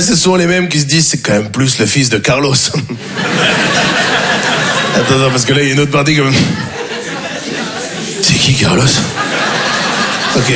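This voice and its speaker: A young man performing a stand-up comedy set on stage before a live audience, playful and quick to jump into high squeaky voices for the people he quotes. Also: heavy background noise.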